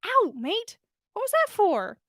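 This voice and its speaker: A woman's voice: extremely high pitch, wildly swooping pitch, slow pace, a bit bright, dry recording, clean studio sound, voice pushed up, heavily acted. A woman voicing an awkward, good-hearted young man who grumbles, in a storybook reading. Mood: irritated. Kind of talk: storybook character dialogue.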